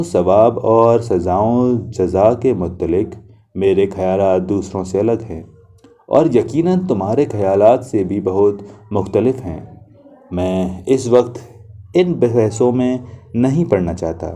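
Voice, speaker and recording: A man narrating a literary short story in the first person, reading in a measured, reflective manner. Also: lowish voice, warm tone.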